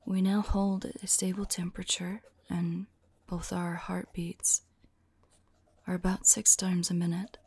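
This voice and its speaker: A woman playing a tender, devoted vampire lover in an intimate ASMR roleplay, speaking softly and affectionately, often in a whisper. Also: fairly low pitch, little pitch movement. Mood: reflective. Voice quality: soft, breathy, often whispering. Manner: slow, wistful, affectionate.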